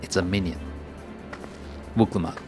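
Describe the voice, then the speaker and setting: A man with a low voice streams a video game in a casual, laid-back way. He reads names and dialogue aloud and drops in offhand remarks, with music playing behind him. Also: steady tone, moderate pace, a little mellow.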